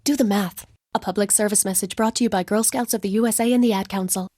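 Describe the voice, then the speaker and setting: A woman's voice: mid-range voice, steady tone, quick pace, mellow, no echo. A woman reading a scripted public service announcement for radio.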